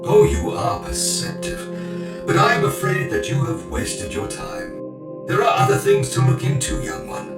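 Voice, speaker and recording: A man playing a haughty, elderly machine-priest lord in an audio drama, speaking pompously in a breathy, unvoiced rasp. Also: natural voice. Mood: condescending. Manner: pompous, condescending, deliberate. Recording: echoing voice under loud music.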